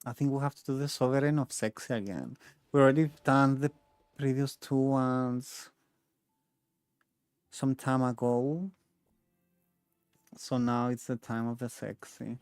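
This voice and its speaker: A man's voice: medium pitch, fairly even intonation, a little slow, pauses often, darkish tone, clear, resonant tone, no room sound, soft background music. A man giving casual gaming commentary as a streamer, chatty and thinking aloud as he plays. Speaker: game streamer.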